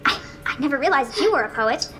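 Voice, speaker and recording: A woman's voice: high voice, lively intonation, fairly quick, loud music behind the voice. A woman playing an anxious, meek, well-meaning male friend in a radio play, hesitant, stammering and pleading. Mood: earnest, disbelieving. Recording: noisy track with clicks.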